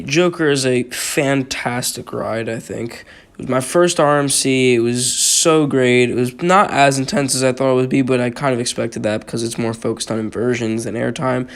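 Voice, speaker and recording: A hoarse young man giving casual voice-over commentary as a roller coaster reviewer, rambling with many fillers. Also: mid-range voice. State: hoarse from allergies.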